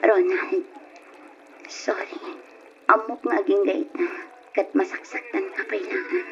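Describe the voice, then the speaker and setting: A woman acting in an Ilocano radio drama, playing a gentle, apologetic young bride-to-be. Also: medium pitch, animated, slow, music in the background. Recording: dull, noisy old radio recording.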